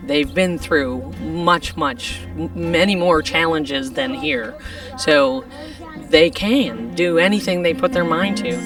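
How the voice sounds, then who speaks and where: A woman volunteer speaking slowly and thoughtfully about refugees. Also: low voice, expressive, music in the background. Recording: voice from far off the mic.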